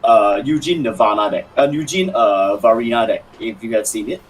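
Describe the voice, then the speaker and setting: A man on a card game stream, talking in a lively, bouncing voice. He laughs easily and restarts his sentences as he goes. A faint hum of ambience sits underneath. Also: fairly low pitch, moderate pace. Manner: animated, laughing, many restarts. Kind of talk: casual chat.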